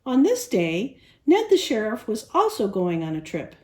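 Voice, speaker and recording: A woman storyteller reading a picture book aloud to young children in an expressive style. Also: medium pitch, moderate pace.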